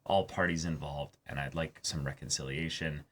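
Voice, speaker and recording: A man's voice: extremely low pitch, steady tone, moderate pace, noisy background. A man hosting a reaction show in casual chat with a co-host.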